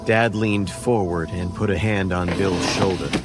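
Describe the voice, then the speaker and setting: A man's voice: sits low, steady tone, measured pace. A man narrating a scripted first-person horror story as its narrator. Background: faint music.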